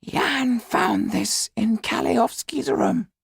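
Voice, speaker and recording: Haunted audiobook magician, grave and secretive; very gravelly, slow, halting delivery. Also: male voice, no echo, studio quality, heavily acted. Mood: grave, certain. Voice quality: high, raspy, creaky, breathy. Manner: slow, dramatic, halting storytelling. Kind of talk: audiobook character acting.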